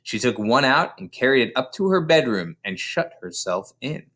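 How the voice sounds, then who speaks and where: A man reading a children's story aloud in an expressive, dramatic storytelling manner. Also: mid-range voice, normal speed. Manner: dramatic, animated storyteller delivery. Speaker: storybook reader.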